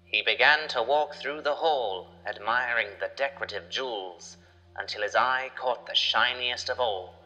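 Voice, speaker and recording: A man's voice: mid-range voice, moderate pace, sharp tone, rough recording. A man giving scripted, dramatic narration of a children's adventure story.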